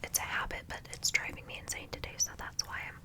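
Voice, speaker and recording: A woman's voice: fast, sharp tone. Whispering young woman, congested and nasal. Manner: chatty, gushing, many ums. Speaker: ASMR creator. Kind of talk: whispered ASMR chat.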